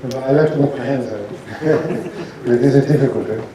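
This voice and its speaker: A man gives an informal lecture as a doctor, speaking haltingly and asking his audience questions. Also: medium pitch, crowd noise. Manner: halting, restarts sentences, asks audience rhetorical questions. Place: lecture hall. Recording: echoey hall, from a distance, faint noise.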